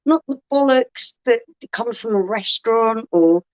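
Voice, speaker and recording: A woman chatting casually on a home livestream, quick and laughing often. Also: on the high side, expressive. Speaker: co-host of a beer livestream. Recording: dull lo-fi livestream audio.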